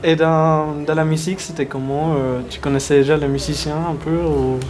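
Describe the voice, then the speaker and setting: A man's voice: a little high, flat delivery, a little fast, a crowd in the background. A man interviewing a filmmaker, informal, asking short questions and nodding along. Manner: informal, brief prompts and backchannels. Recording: room with ambient noise.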